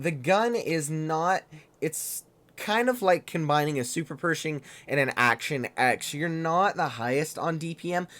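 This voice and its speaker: A man hosting a gaming channel, reviewing a tank game in loose, fast, chatty commentary. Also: on the high side, a bit bright.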